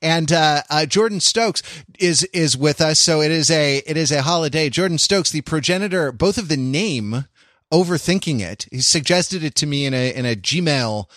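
A man hosting a casual, joking podcast, rambling along with halting starts and self-corrections. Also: a little high, expressive, fairly bright tone, dry recording, clean studio sound. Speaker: podcast host.

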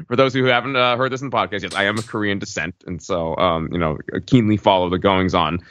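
Bright, forceful low-voiced American man. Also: brisk. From Alabama, United States. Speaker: podcast panelist. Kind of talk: casual chat, anecdotal explaining. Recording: slightly dull podcast audio.

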